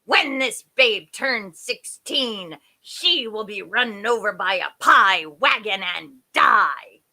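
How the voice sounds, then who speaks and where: Woman putting on a forceful, snarling wicked fairy. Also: a little high, very animated, takes it slowly, full character voice. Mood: threatening. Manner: snarling, barking, falsely sweet.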